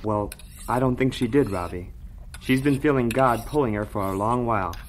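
A man playing a patient, devout older farm brother in a vintage radio drama, gentle and sincere. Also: mid-range voice, normal speed, background noise, close to an everyday voice.

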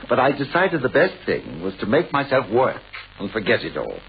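Radio play novelist: British, fussy, anxious, formal and hesitant, with very lively intonation, on a dull, hissy old recording. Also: male voice, mid-range voice, moderate pace, gravelly. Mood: relieved, buoyant. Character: anxious, mannered male novelist, fussy and self-absorbed.

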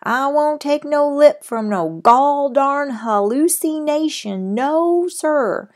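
A woman voicing a tiny drunken cowboy man with a Texas accent, shouting and drawling in folksy grammar. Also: sits high, lively intonation, slow pace, voice pushed up. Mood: furious.

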